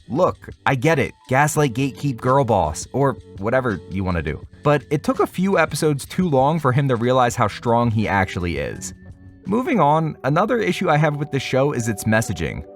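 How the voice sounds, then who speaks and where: Male video essay host, lively intonation, sardonic casual asides over scripted narration, light music underneath. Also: medium pitch. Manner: wry, sarcastic asides.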